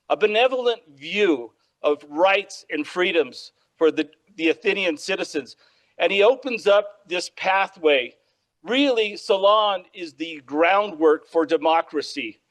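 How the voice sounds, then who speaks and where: A man lecturing on history with a loud, projecting voice, often checking in with "all right?". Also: high-pitched. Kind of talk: public lecture. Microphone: microphone.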